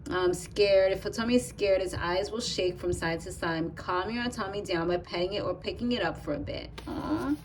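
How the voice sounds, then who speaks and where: A chatty, excitable woman with a mixed West Indian and Bronx accent, unboxing a product and reading its instructions aloud with asides. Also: mid-range voice, moderate pace, hardly any pauses, slight background noise, low-quality recording. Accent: West Indian and Bronx New York mix. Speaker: vlogger. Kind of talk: casual unboxing chat.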